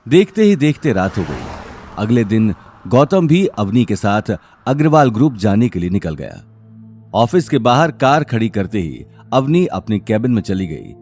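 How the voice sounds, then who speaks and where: A man's voice: fairly low pitch, sing-song, moderate pace, a little mellow, soft background music. A man narrating an audio drama series as a scripted, dramatic story.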